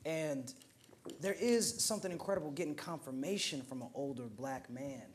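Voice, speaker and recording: Higher-voiced young American man, casual. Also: normal speed. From Oakland, California, USA. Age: 20s. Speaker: roundtable participant. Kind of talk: casual personal storytelling. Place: indoor roundtable discussion at a museum.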